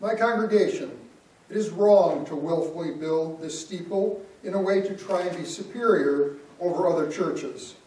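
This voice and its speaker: A man playing a nineteenth-century minister in an amateur church reader's theater, speaking in a preachy, measured way. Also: sits high, mellow, close to an everyday voice. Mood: admonishing. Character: stern 19th-century male minister preaching. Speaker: church member reading a part. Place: church sanctuary. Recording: echoey church from far off.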